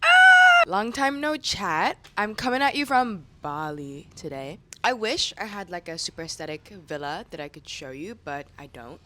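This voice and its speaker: A woman vlogger with a slightly raspy voice, chatting candidly to camera in slangy, casual speech. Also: mid-range voice, huge pitch swings, moderate pace, pushed and bright, slight background noise. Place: room indoors.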